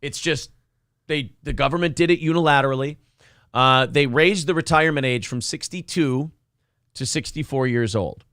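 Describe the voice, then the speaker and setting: A man's voice: medium pitch, moderate pace, a bit bright, dry recording, studio quality. A man co-hosting a morning show with casual, profane comedic banter about the news. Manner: profane, joking.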